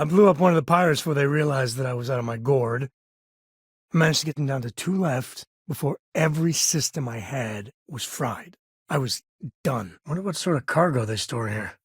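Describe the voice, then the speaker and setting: A husky, dry-recorded game companion played by a male actor, a space-cowboy father with a sardonic streak. He sits at an ordinary male pitch and talks in a casual, folksy, protective way. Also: dry recording, lightly acted. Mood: sardonic, earnest. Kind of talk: scripted game companion dialogue.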